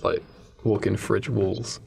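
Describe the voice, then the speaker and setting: A man thinking aloud haltingly as a co-host in a casual improvised comedy chat. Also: fairly low pitch, little pitch movement, creaky, a little mellow, breathy edge, dry recording. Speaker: podcast co-host.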